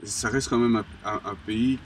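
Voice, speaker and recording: A young man from Colombia, an interviewee, talking casually in halting phrases full of restarts and fillers. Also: medium pitch, fairly even intonation. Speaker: graffiti artist, interviewee. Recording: distant mic with background noise.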